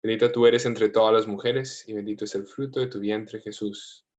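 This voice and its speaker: A priest in his thirties with a mixed Latin American and Spanish accent, praying and then talking gently and informally over a video call. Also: on the low side, fairly even intonation, normal speed, highs cut off. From United States, family from Colombia. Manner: gentle, informal, with frequent fillers.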